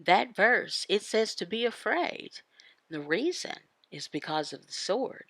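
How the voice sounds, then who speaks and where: Woman teaching scripture with wide, swinging pitch. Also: very animated, measured pace, vocal fry, fairly bright tone, dry recording. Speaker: voice interpreter for a signed devotional. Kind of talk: devotional Bible teaching, voiced from sign language.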